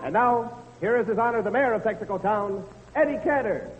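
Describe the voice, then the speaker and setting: A man's voice: high-pitched, brisk, over loud music. A man as announcer and eager straight man in scripted 1930s radio comedy, on a dull echoing broadcast recording.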